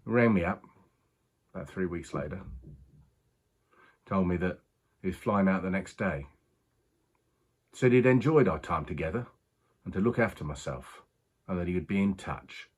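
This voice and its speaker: A man acting a husky, low-voiced racing man. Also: full character voice. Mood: reflective. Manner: halting, confiding, colloquial, with pauses. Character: rueful working-class British racing man confiding a strange story. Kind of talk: dramatic monologue, conversational storytelling.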